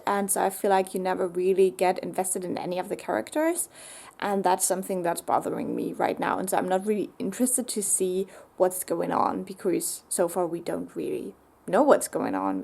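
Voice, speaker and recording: A woman's voice: fairly low pitch, moderate pace, vocal fry. Chatty woman book vlogger. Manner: chatty, relaxed, with frequent "um" and "like". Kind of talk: casual vlog chat.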